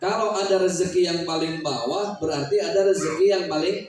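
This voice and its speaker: Betawi male preacher, playful crowd-teaser, mellow slow delivery, miked from a distance in a very echoey hall. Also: fairly high pitch, a little hiss or hum. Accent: Betawi Malay. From Jakarta, Indonesia. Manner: joking, teasing the audience with questions. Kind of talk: humorous religious talk at a community gathering.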